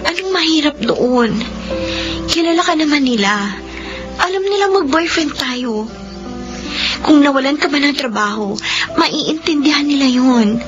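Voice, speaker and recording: A woman's voice: high-pitched, expressive, measured pace, pauses often, dull audio, over music. A woman playing an anxious pregnant young woman in a radio drama, earnest, insistent and emotional. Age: young adult. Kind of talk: Filipino radio drama acting.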